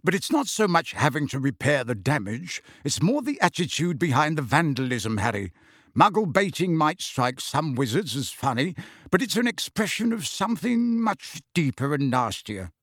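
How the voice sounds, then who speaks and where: A man voicing a kindly but harried middle-aged wizard father who talks brisk and earnest, in a dry audiobook reading. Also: a little high, sing-song, a bit bright, breathy edge, no room sound, exaggerated voice. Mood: earnest, agitated. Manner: brisk and earnest, clipped when tense.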